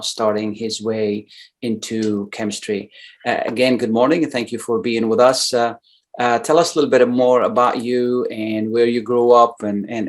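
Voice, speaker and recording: A male radio host with a mid-range voice who talks in long, rambling runs. He fills pauses with "uh" and repeats his words as he goes. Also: medium pitch. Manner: wordy, repeats phrases, many fillers. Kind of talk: radio interview.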